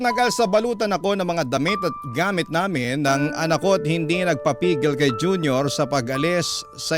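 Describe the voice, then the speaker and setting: Filipino man, slightly high voice, heartfelt radio letter reading, dramatic storytelling, loud music bed.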